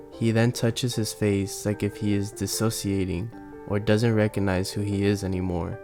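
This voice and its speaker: A man reading scripted explanatory narration for a video essay. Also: lowish voice. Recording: music behind the narration.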